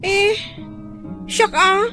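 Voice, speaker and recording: A hurried, defensive young daughter with an Ilocano accent. Her voice is strikingly high. Faint music plays behind this radio drama. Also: steady tone, full character voice.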